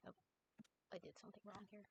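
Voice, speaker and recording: A woman's voice: mid-range voice, fairly even intonation, fast, breathy edge, dry recording, low-quality recording. A woman hosting a casual stream, giggling often and swearing playfully as she chats and reads a story summary aloud. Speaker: streamer host.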